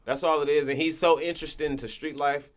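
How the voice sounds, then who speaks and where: A man's voice: a little high, fairly even intonation, quick pace. A man with a Southern California accent in casual podcast chat, slangy and emphatic, often repeating phrases in agreement.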